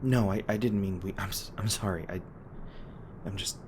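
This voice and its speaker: A man playing a gruff, guilt-ridden monster hunter with a tender side, speaking haltingly and emotionally in an intimate audio roleplay. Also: low voice, natural voice. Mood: resolute, then ashamed. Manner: halting, stammering, emotional, swearing. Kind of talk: intimate dramatic audio roleplay addressed to listener. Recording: noticeable background noise and ambience.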